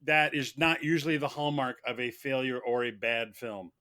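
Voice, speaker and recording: A man playing a mock defense lawyer, making a wordy, halting film argument in formal vocabulary. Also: mid-range voice, bright, forceful tone. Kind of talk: semi-prepared humorous film argument.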